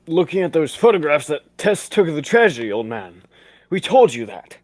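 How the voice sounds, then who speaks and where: A man acting a pompous, excitable old-fashioned gentleman sidekick in a 1940s radio play, with flowery exclamations. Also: on the high side, normal speed, dry recording. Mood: puzzled.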